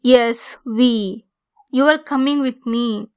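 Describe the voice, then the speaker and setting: Slow, higher-voiced woman in ASMR roleplay. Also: a little mellow, clear, resonant tone. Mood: gently teasing. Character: possessive female centaur, softly caring, dryly teasing. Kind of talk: ASMR roleplay, scripted monologue.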